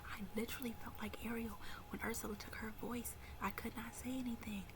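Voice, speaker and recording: Young woman, early twenties, whispered confiding vlog talk, gravelly fry, noisy background. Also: on the high side, flat delivery, brisk. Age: early 20s. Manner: mostly whispered, soft-spoken and confiding. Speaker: vlogger. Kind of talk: personal faith story to camera.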